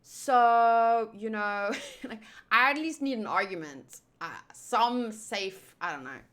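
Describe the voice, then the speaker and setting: A woman's voice: fairly high pitch, animated, takes it slowly, fairly bright tone. A young woman whose first language is Afrikaans, chatting casually on a live stream with lots of fillers and restarts. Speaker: streamer answering chat.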